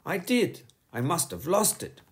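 A man's voice: a little high, huge pitch swings, a little slow, pitched above the performer's own voice. A man doing a cheeky, grumbling schoolboy. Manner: complaining, whiny questions.

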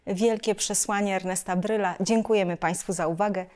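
A middle-aged woman with a medium-pitched voice hosts a literary interview. She talks in a conversational way, as the presenter of a cultural television programme speaking to a guest and to viewers. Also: steady tone, brisk. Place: small book-lined studio lounge, indoors.

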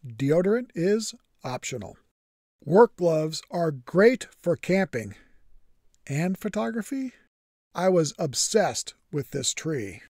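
A man's voice: a little high, darkish tone, no room sound. A man narrating his camping trip in a scripted voice-over, matter-of-fact with dry humor. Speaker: vlogger.

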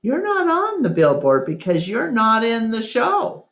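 A woman's voice: a little high, wildly swooping pitch, a little slow, pitched above the performer's own voice, exaggerated voice. A woman voicing an earnest, anxious male gorilla in a storybook, explaining himself hesitantly. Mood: matter-of-fact.

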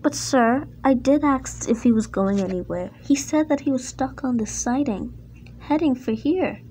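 A woman's voice: high voice, normal speed, mellow, a little hiss or hum, natural voice, low-quality recording. A woman voicing an earnest male steam engine in a children's story, protesting and defiant at being wronged.

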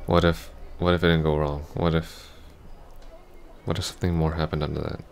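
A man playing a heartbroken, apologetic ex-boyfriend in an intimate ASMR roleplay, soft-spoken, halting and stammering. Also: extremely low pitch, little pitch movement, vocal fry, a little hiss or hum. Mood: heartbroken, pleading.

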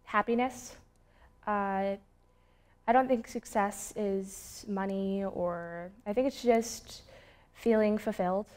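Earnest young woman, mid-pitched. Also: fairly even intonation, slow, a little mellow. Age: young adult. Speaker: student interviewee. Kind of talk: documentary interview answers. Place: studio with plain backdrop.

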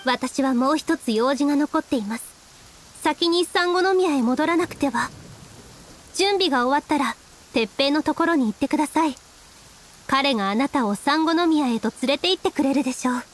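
An actress playing a gentle, composed young priestess commander in a Japanese video game, speaking politely and formally in measured phrases. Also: female voice, high-pitched, slight background noise, lightly acted. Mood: calm, caring. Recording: music and rain under dialogue.

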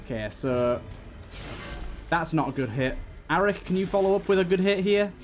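A man's voice: sits high, animated, normal speed. A man hosting a let's play, giving running commentary on a game. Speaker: gaming video host. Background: game music.

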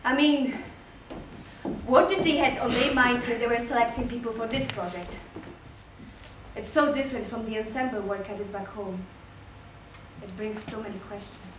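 A woman with a slightly high voice speaks with exasperation on stage. She is far from the mic, with a strong echo and audible hiss around her. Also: moderate pace, long pauses. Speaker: stage actor. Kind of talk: stage monologue. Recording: echoey theatre from far off.

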